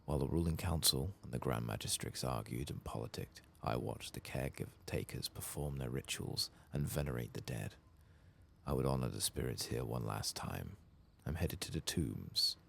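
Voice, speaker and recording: Casting: man as ashamed exiled elf, grave and formal, very low creaky soft delivery, fantasy game dialogue. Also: lively intonation, moderate pace, slight background noise, full character voice. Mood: resigned, bleak. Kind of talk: game quest dialogue read aloud softly.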